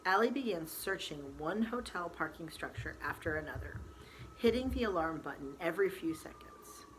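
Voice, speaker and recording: A woman storyteller reading a novel aloud in expressive, dramatic narration with deliberate emphasis. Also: fairly low pitch, low-quality recording. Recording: faint background noise.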